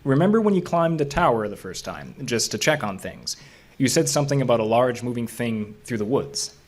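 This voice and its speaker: Male actor as park ranger, husky voice, level-headed, skeptical, mildly wry, naturalistic audio drama dialogue. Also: medium pitch, animated, brisk, lightly acted. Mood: curious, probing.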